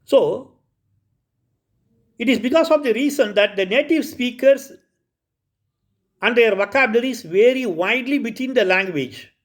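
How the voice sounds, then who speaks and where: A man from India with a Tamil Indian English accent, a lecturer giving a recorded lesson, explaining slowly with frequent repetitions and self-corrections. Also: very high-pitched, lively intonation. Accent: Indian English, Tamil Nadu.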